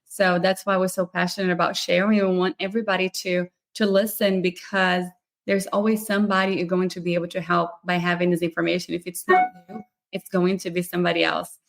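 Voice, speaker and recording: A woman hosting a live podcast interview, upbeat and talking quickly in long runs. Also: fairly low pitch, flat delivery, gravelly, faint music behind. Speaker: podcast host.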